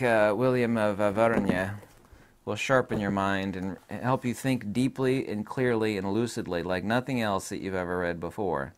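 A middle-aged man talks through book picks from his home studio desk on a lapel mic, emphatic and opinionated, often trailing off with fillers. His voice is low and a bit thickened by allergies, yet it swings widely up and down as he gets going. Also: fairly bright tone. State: voice deepened by allergies. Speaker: book reviewer and channel host. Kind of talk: casual book-recommendation monologue.

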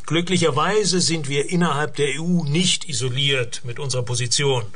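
An actor playing an evasive government minister in a radio drama debate, measured and diplomatic. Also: male voice, a little high, fairly bright tone.

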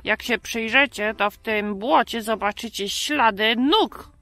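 Woman voicing a chatty high-voiced male puppet. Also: measured pace, bright, forceful tone. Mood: chatty, amazed. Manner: chatty, repetitive, drawing out key words. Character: chatty moustached male puppet showing viewers around. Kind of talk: casual talk to children.

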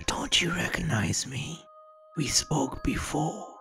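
A man voicing a gloating male villain who whispers in a gravelly voice and taunts in a smug, drawn-out way. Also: a little high, expressive, a bit bright, pitched above the performer's own voice, exaggerated voice.

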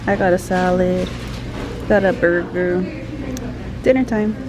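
A woman's voice: on the low side, steady tone, measured pace, a crowd in the background. A young woman in her twenties chatting casually to a handheld camera, giggly and talkative. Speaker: vlogger. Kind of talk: casual vlog chat. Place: car, store and home, handheld camera. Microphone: handheld camera at arm's length.

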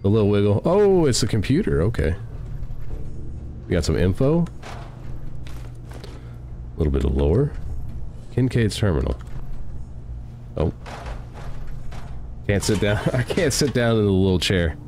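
Stuffy-nosed deep-voiced male streamer. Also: big pitch swings, measured pace, long pauses, a little hiss or hum. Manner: chatty, reacts out loud, reads game text aloud. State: stuffy nose from a cold. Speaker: gaming streamer. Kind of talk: casual let's-play commentary.

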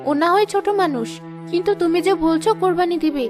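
Actress as cartoon mom, high female voice, sensible, firm yet patient, admonishing, music behind. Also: quick pace. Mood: questioning, firm. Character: sensible, pious mother, firm but patient. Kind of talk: cartoon voice acting, moral story.

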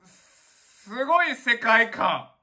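Excitable young man with swooping pitch. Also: extremely slow. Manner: excitable, exclaiming in awe. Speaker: dance reaction channel host. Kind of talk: casual reaction commentary. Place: small indoor room at home.

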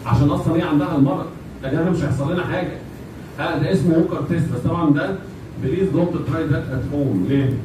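A man with an Egyptian accent lecturing informally to a class, mixing in English medical terms, repeating phrases and checking that students follow. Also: a little high. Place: indoor classroom at a whiteboard with students. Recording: very echoey, noisy classroom, distant microphone.

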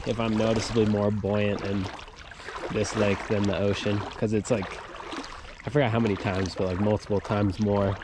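Casual young man, noisy outdoor vlog. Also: on the low side. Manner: casual, chatty, thinking aloud. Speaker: vlogger. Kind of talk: casual travel vlog narration. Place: outdoors at a campground and lake. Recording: noisy outdoor camera audio. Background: loud outdoor ambience with rain and water.

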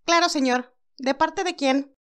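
A woman's voice: sits high, a little slow, voice pushed up. A woman voicing a polite, businesslike female telephone operator in a story, formal and courteous.

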